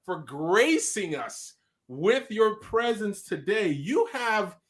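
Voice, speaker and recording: This is an upbeat male host with a Nigerian accent, talking in quick, excited bursts as he runs a live online show. His voice sits a touch higher than most men's. It sounds like it comes through a video call mic. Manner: energetic, hyped, quick bursts of talk.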